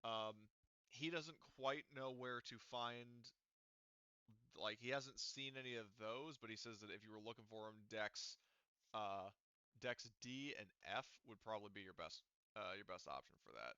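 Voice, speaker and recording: A man's voice: fairly low pitch, moderate pace, pushed and bright, smooth, no room sound. A man running a tabletop game as game master, talking casually with lots of fillers and swearing. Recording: dull lo-fi audio.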